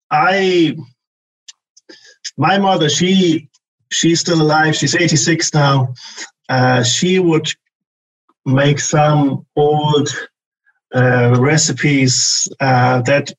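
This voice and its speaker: A man, middle-aged or older, from northern Germany, chatting in an interview in a husky, creaky voice, often pausing to search for words. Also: fairly high pitch, rough recording. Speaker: podcast guest, business development manager. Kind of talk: casual interview chat.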